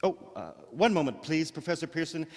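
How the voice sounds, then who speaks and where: An actor playing an earnest radio news reporter in an old-time radio drama, speaking in a formal, measured announcer style. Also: male voice, a little high, very animated, darkish tone. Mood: formal, announcing. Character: earnest, probing male radio news reporter interviewing live. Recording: hall reverb.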